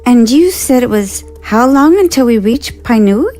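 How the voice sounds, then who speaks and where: A woman's voice: on the high side, lively intonation, moderate pace, mellow, faint music behind, natural voice. A woman voicing a playful, flirtatious blue-skinned alien woman.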